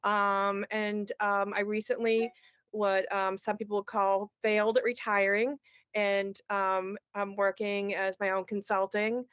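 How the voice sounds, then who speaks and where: Smooth-voiced woman with a Boston accent. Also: medium pitch, level tone, measured pace, fairly bright tone, dry recording, studio quality. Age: middle-aged. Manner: chatty, many ums and restarts. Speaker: guest, ed tech consultant. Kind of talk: casual interview answers.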